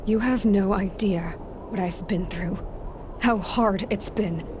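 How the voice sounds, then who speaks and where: A woman playing a determined, sharp-tongued young huntress in a game cutscene, weary and sarcastic. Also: medium pitch, slow, lightly acted. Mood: frustrated. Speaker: game protagonist. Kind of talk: video game cutscene acting. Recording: game audio with clear background noise.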